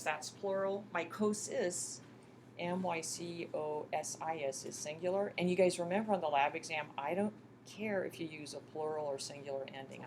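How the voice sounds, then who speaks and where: Low-voiced woman teaching, noisy recording. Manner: folksy, addresses students often as "you guys" and "folks"; encouraging, question-and-answer teaching. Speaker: college microbiology lecturer. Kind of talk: interactive classroom lecture. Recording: clearly noisy classroom recording.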